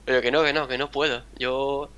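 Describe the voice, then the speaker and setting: Bright-voiced young man, mild Andalusian accent. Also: mid-range voice, flat delivery, a little fast, clean tone, lo-fi sound. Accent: mild Andalusian Spanish. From Spain, a town near Seville. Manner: rambling, chatty, acts out quotes. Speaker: gaming channel host. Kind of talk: casual chat over a video game.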